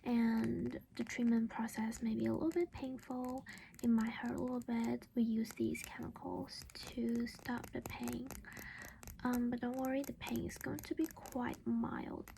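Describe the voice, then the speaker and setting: A woman whispers softly in a breathy, crackly voice with very little pitch movement. She plays a caring vampire mother in an ASMR roleplay, reassuring and halting as she goes. The recording has clear background noise and small clicks. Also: little pitch movement. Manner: gentle, reassuring, slow and halting. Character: caring vampire mother doing a dental checkup.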